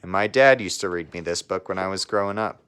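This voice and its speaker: Low-pitched man reading a storybook. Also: a little fast, fairly bright tone, clear, resonant tone. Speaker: teacher reading aloud. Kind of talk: children's storybook read-aloud, casual asides.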